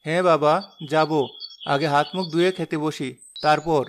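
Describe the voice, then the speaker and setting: A man voicing a poor, devout, gentle village father in a cartoon moral drama, speaking earnestly and tenderly. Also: a little high, steady tone, moderate pace, clear, resonant tone, close to an everyday voice. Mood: calm.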